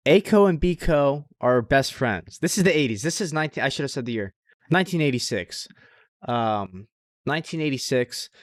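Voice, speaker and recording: A man's voice: mid-range voice, expressive, moderate pace, no echo, clean studio sound. A man co-hosting a podcast, chatting casually and enthusiastically with slangy, profane language and emphatic recommendations. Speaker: podcast co-host. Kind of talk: casual chat about anime.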